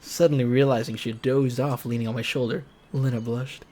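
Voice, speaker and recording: A man's voice: medium pitch, fairly even intonation, fairly quick, darkish tone. A man narrating a visual novel aloud as a let's player, casual and slangy, with joking asides. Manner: jokey, slangy. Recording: music behind the voice.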